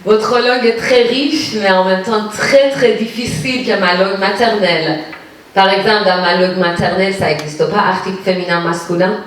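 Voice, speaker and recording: A woman from Iran whose first language is Persian, speaking with a Persian accent as a guest poet at a public reading. She searches for her words, with frequent hesitations. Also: mid-range voice. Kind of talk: personal storytelling at a reading. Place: municipal lecture hall. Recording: echoey hall from far off.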